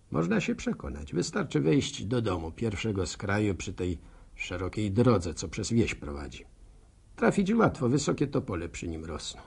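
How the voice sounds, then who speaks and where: Gravelly, slow-talking village postman. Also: sits low, a little mellow, full character voice. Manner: rambling, colloquial, wry rural storyteller. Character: chatty, self-deprecating, envious middle-aged village postman with a limp. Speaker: narrator of a radio serial. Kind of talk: radio drama monologue, folksy storytelling to listeners.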